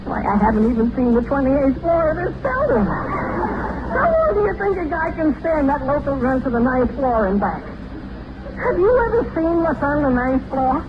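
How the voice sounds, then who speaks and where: A man's voice: extremely high pitch, moderate pace, highs cut off. An actor playing a dreamy, impulsive young elevator boy with a plaintive, hesitant manner in an old-time radio comedy. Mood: disgruntled. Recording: noisy old radio recording, steady hiss.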